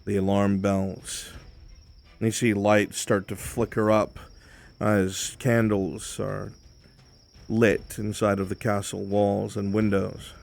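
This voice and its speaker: A man narrating a live fantasy roleplay as game master, in a measured, dramatic storytelling manner. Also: fairly low pitch, flat delivery, gravelly, a little mellow. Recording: background music under the voice.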